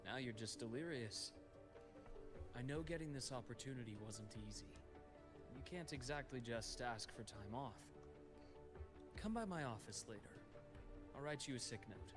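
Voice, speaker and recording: An actor playing a calm, caring male doctor with a measured, reassuring way of speaking. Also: mid-range voice, natural voice. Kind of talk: game voice acting. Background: game music.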